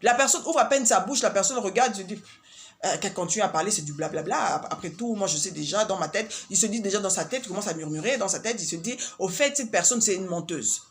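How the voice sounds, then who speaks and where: A woman's voice: mid-range voice, animated, fast talker, slight background noise. A woman from Congo with a Congolese French accent and Swahili as her first language, giving advice in a casual, hesitant monologue full of fillers and repeated words. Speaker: vlogger giving life advice.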